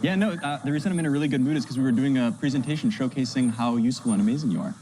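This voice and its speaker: A man talks quickly and casually while demonstrating live. He sounds upbeat and jokey, at a middling pitch. Noticeable noise and ambience sit behind his voice. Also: dark tone. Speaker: demo presenter.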